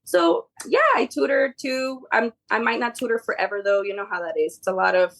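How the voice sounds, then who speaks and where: Podcast guest, woman, native Spanish speaker. Highish pitch with gravelly fry, casual and chatty delivery. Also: fairly quick, fairly bright tone. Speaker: podcast guest, behavior therapy tutor. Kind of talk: casual conversational interview.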